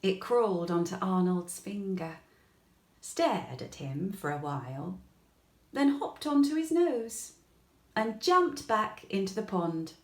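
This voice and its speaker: A woman reading a children's bedtime story aloud in an expressive, gentle way, with deliberate pauses. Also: medium pitch. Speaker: storybook reader. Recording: echoey room.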